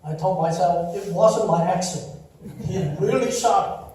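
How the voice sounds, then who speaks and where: An older man with a Chinese accent, Taiwanese his first language, giving a slow, warm eulogy full of gentle storytelling at a memorial service. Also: high voice, very noisy. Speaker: eulogy speaker. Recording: echoey hall, distant mic.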